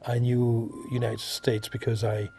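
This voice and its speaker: A man from France with a French accent, answering interview questions as an artist, halting and repeating himself as he searches for words. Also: mid-range voice, completely flat delivery, creaky, dark tone, slight background noise. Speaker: visual artist being interviewed.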